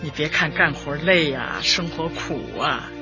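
An actress in a radio drama playing a brisk, cheerful older female community party secretary, chatty and emphatic as she instructs. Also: low voice. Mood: cheerful, reminiscing. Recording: dull audio with music behind. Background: background music.

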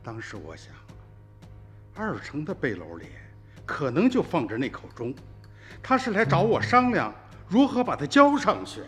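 A man's voice: a little high, wildly swooping pitch, moderate pace, pauses often, a bit bright, faint music behind. An actor in a radio drama playing a worried old village man, terse and reflective. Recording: long reverb.

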